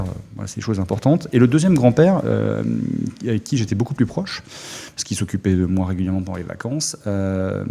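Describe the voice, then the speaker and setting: Gravelly low-voiced Alsatian man. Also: dark tone. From Alsace, France. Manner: halting, many fillers and restarts. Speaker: guest, former army officer. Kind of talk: casual interview talk.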